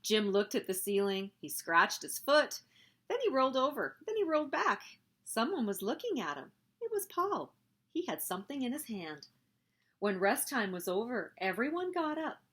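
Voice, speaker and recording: A woman hosting a story time, reading a picture book aloud in an expressive, animated way meant for young children. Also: a little high, moderate pace, husky.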